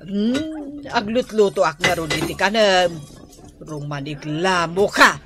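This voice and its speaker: A woman's voice: fairly low pitch, expressive, takes it slowly, over music. A woman playing a nagging, exasperated older wife and mother in an Ilocano radio comedy drama, with an Ilocano accent. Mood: scolding.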